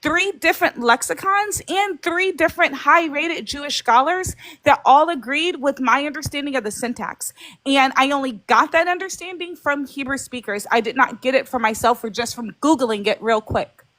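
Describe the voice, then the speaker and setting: A woman's voice: high-pitched, moderate pace, fairly bright tone, no room sound, poor audio. A woman debating live online, defending herself and explaining a grammar point step by step in an insistent tone.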